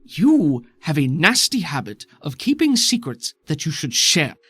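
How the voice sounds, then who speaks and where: A man voicing a stern, exasperated female plant creature in a tabletop game, with formal, cutting, deliberate phrasing. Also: on the high side, sing-song, a bit bright, breathy edge, no echo, voice pushed up, heavily acted. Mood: stern reproach.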